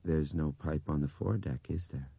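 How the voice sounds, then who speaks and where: Audiobook youth, played by a male narrator: earnest, hesitant, full of wonder, pitch rising and falling widely. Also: very animated, normal speed, gravelly, dark tone, breathy edge, no echo, dull audio, heavily acted. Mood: slow wonder. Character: earnest, thoughtful young man piecing together a mystery.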